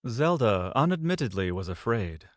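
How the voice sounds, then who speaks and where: A man narrating a fantasy audio drama in a measured, dramatic storytelling manner. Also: on the low side, sing-song, no room sound, clean studio sound.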